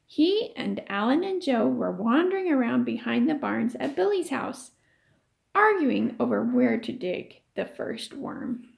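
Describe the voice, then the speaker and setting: A woman's voice: on the high side, wildly swooping pitch, measured pace, creaky. A woman teacher reading a story aloud to children, stopping to explain and ask questions. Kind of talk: read-aloud lesson for children.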